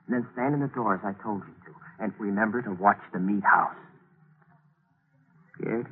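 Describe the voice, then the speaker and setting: An actor in an old-time radio thriller playing a cold, scheming murderer, terse and commanding. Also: male voice, on the low side, normal speed, breathy edge. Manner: terse, commanding, muttering to himself. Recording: noisy, tinny old radio broadcast recording.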